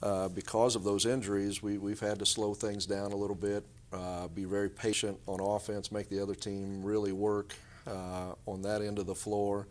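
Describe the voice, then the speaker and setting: A man's voice: fairly low pitch, flat delivery, moderate pace, hardly any pauses, darkish tone. A middle-aged man answering interview questions conversationally as a basketball coach.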